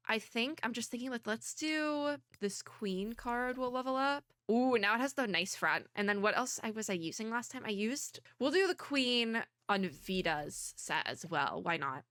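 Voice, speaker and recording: A woman's voice: medium pitch, sharp tone, no echo. A young woman VTuber giving casual, rambling gaming commentary, sniffly and losing her voice. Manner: chatty, rambling, giggly. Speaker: VTuber streamer.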